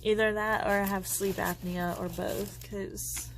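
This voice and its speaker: A woman's voice: mid-range voice, little pitch movement, measured pace, a little hiss or hum, low-quality recording. A congested woman chatting casually and rambling as she crafts at home, her thoughts often trailing off. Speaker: planner vlogger. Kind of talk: casual chat while crafting.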